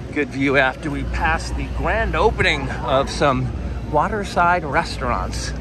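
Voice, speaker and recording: New man guiding a boardwalk walk. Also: fairly high pitch. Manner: informative, tour-guide delivery with drawn-out emphasis. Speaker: walking tour guide. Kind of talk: narrated walking tour. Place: outdoors on a busy seaside boardwalk. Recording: outdoor street and crowd noise.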